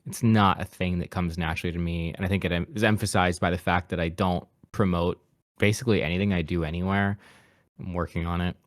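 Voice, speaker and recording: A man's voice: sits low, no room sound, studio quality. A man with a mid-Atlantic American accent, a podcast guest and voice actor from Appalachia, talking casually and reflectively in an interview, with easy self-deprecating laughs. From Appalachian region of the United States.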